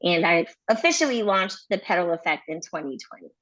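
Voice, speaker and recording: A woman's voice: lowish voice, very animated, brisk, a bit bright, no echo. A young adult woman, born in Nigeria and raised in Maryland, telling her story as a podcast guest in an upbeat way with frequent "um"s and laughter. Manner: upbeat, laughs often, many "um"s. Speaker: podcast guest, floral entrepreneur. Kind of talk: casual interview storytelling. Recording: dull dry sound.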